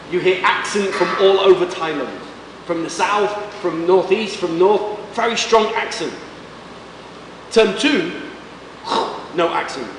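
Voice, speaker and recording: A man's voice: high-pitched, fairly bright tone, far from the mic. A man with a Manchester accent teaching English to a class, speaking in short, simple sentences and quizzing students. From England. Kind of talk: classroom lecture. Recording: echoey classroom recorded from afar with background hiss.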